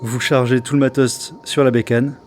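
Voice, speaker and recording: A man narrating as game master of a tabletop game, halting with many fillers and restarts. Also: medium pitch, little pitch movement, a little hiss or hum.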